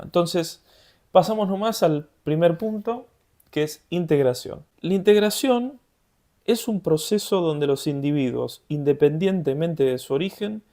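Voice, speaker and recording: Podcast co-host: young Argentine man, Rioplatense accent, warm mellow tone, easygoing talk. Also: on the high side. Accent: Rioplatense Argentine Spanish. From Buenos Aires, Argentina. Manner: relaxed and conversational, laughs easily. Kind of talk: casual podcast chat.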